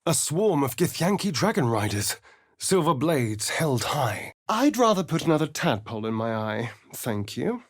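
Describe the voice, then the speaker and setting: Casting: foppish vampire spawn rogue, game companion. Disdainful theatrical drawl, wide expressive pitch swings, dry studio sound. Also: male voice, normal speed, no echo. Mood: arch, sardonic. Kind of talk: video game voice acting, companion dialogue and narration.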